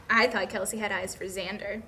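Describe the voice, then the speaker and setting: A young woman reading the part of a meddling, self-assured college student at a script table read, brisk and teasing. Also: medium pitch, fairly even intonation, bright, forceful tone. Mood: curious. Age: young adult. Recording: faint background noise.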